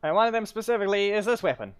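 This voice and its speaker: A man's voice: high voice, sing-song, racing. A man giving casual gameplay commentary as a streamer, in a rambling, self-correcting way with muttered asides. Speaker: game streamer. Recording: clearly noisy stream audio with clicks.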